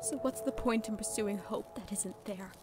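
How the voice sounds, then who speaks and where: A woman voicing a young female pony heroine with a dark past, speaking in a subdued, earnest way in a fan audio drama. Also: mid-range voice, moderate pace, loud music behind the voice, natural voice. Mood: bitter.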